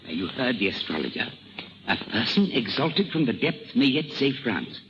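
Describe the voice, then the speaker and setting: A man's voice: medium pitch, big pitch swings, normal speed, natural voice. Man acting scheming, mocking king on muffled old radio. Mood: imperious. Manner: commanding, sardonic, taunting. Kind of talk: 1930s radio drama acting. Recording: muffled, noisy 1930s radio broadcast.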